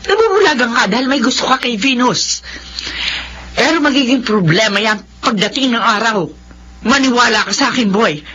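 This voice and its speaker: An actress playing a stern, protective, disapproving aunt in a radio drama, scolding with emphasis. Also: female voice, on the high side, lively intonation, moderate pace, sharp tone, slightly rough, heavily acted. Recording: dull sound, faint ambient noise.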